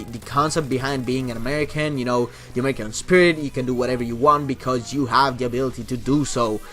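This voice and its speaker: Young Italian-accented guy for a casual Q&A vlog; chatty, profane, keeps restarting sentences at a middle pitch. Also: fairly bright tone. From Italy. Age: young adult. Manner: rambling, profane, frequent restarts. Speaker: YouTuber and streamer.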